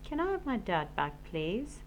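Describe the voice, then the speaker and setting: A woman's voice: mid-range voice, sing-song, unhurried, a little hiss or hum. A woman voicing an earnest young boy in a children's storybook. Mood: hopeful. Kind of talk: storybook character voice.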